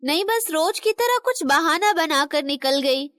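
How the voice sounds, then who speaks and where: A woman voicing a stern but loving elderly Indian mother-in-law in an animated moral story. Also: sits high, measured pace, no echo, clean studio sound. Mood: annoyed, sarcastic.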